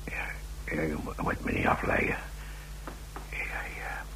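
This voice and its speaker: A man playing a hesitant safecracker in a radio drama, muttering haltingly and given to chuckling. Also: sharp tone, low-quality recording. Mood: nervous, concentrated. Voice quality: gravelly, breathy whisper.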